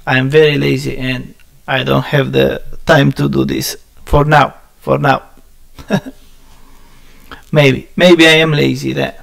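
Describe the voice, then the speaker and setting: A man from Romania with a Romanian accent chatting casually with viewers on a game stream, halting and searching for words, often laughing at himself. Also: medium pitch, level tone, vocal fry, fairly bright tone. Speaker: gaming streamer.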